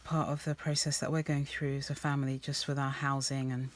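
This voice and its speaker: Woman, low voice, soft rambling chat with ums, slightly croaky, faint hiss behind, craft-along vlog. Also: little pitch movement, brisk. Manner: rambling, soft-spoken, many ums and restarts. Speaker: art journaling vlogger.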